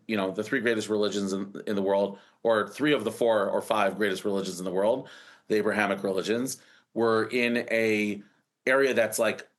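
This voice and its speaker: An American man in his forties, a startup founder telling his story in an interview, rambling with many restarts and fillers. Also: lowish voice. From United States.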